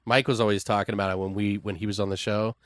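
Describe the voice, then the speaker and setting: A man co-hosting a podcast, talking casually and excitably like a fan and swearing freely. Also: fairly low pitch, fast talker, dry recording, studio quality. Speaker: podcast co-host. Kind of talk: casual movie chat.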